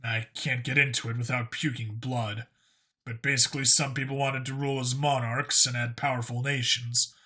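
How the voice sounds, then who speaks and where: Guy as a human cop in an audiobook, blunt, sweary, casual, sharp bright voice, normal pitch. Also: level tone, normal speed. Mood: reflective. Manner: casual, hesitant, plainspoken. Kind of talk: audiobook character acting.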